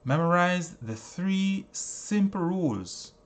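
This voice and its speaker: A man narrating a self-help book in a solemn, deliberate, instructive way. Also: high-pitched, animated. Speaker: audiobook narrator.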